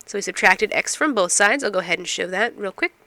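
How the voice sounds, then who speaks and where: A woman's voice: medium pitch, expressive, fast talker, sharp tone, no room sound. A woman instructor explaining math step by step, asking and answering her own questions, while getting over a cold. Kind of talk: recorded math lecture.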